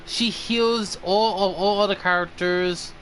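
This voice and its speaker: High-voiced man streaming, faint background noise. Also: fairly even intonation, a bit bright, poor audio. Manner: halting, thinking aloud. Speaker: game livestream host. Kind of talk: casual livestream chat.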